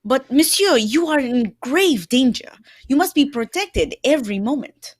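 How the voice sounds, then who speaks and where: A woman's voice: high-pitched, animated, slightly rough, full character voice. Woman playing a courteous Belgian detective with French-tinged accent. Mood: earnest, formal. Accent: French-tinged Belgian accent, put on. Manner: formal, polite, measured foreign phrasing. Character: famous Belgian male detective, courteous and precise. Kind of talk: old-time radio drama acting.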